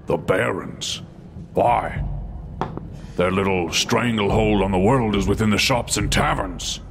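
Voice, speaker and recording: A man voicing a teasing dragon in human form with a low, gravelly voice. He is sardonic and needling, in fantasy audio drama style. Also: measured pace, noisy background. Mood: mocking. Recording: clear background ambience under voice.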